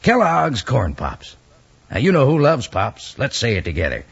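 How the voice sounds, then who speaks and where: An American man doing a hearty, folksy 1950s radio sales pitch in cowboy patter. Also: wildly swooping pitch, normal speed, creaky, slightly rough. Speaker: announcer and sponsor pitchman. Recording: muffled old radio broadcast.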